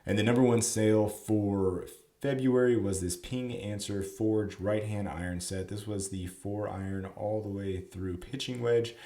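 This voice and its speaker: Low-voiced hoarse man. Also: fairly even intonation, unhurried, warm tone. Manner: chatty, explanatory, with frequent fillers. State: slightly hoarse, sick. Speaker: golf club reseller and vlogger. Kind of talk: casual to-camera sales recap. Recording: roomy echo.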